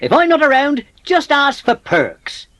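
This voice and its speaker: A woman's voice: high-pitched, wildly swooping pitch, a little slow, fairly bright tone, dry recording, studio quality, pitched above the performer's own voice, full character voice. A woman voicing a friendly male village railwayman, kindly and inquisitive, in a dramatised storybook. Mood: genial.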